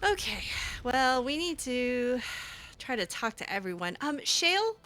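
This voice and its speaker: A woman improvising in-character dialogue as she plays a roleplaying game, halting and repeating words. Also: high voice, sing-song, fairly bright tone, slight background noise.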